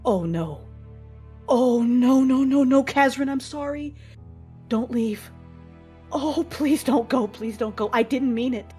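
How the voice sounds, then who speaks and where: A woman playing a grieving female Jedi and mother, narrating her own tragedy in an emotive, measured audio drama style. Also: high voice, dark tone, breathy edge, faint music behind, full character voice. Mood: anguished pleading. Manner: emotive, measured storytelling with anguished dialogue.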